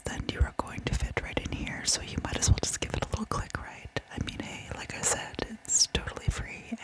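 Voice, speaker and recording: A woman hosting an ASMR channel, speaking to viewers in a breathy, unvoiced whisper and softly repeating words. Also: low-quality recording. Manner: soft-spoken, repeats words gently. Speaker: host of a true crime ASMR channel.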